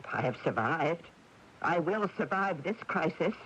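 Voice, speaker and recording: A woman's voice: low voice, lively intonation, normal speed, fairly bright tone. An actress playing a proud, anxious woman in her seventies with an emphatic, snappish manner, in a radio drama. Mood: defiant. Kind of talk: 1980s radio drama acting. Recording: dull, hissy 1980s AM radio recording.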